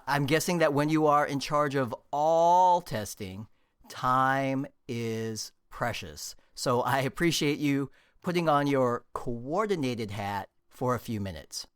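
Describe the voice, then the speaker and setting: A man's voice: medium pitch, animated, slow, a bit bright, smooth, no room sound, clean studio sound. A man hosting an interview podcast, asking questions in an upbeat, curious way and laughing easily. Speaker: podcast host.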